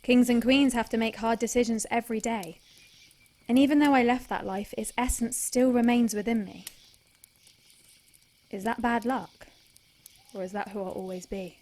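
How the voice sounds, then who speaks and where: A woman's voice: a little high, normal speed, pauses often, a little mellow, natural voice. A woman playing a brash young monster hunter. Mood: brooding. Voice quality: brash, sweary. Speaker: lead character. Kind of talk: audio drama acting.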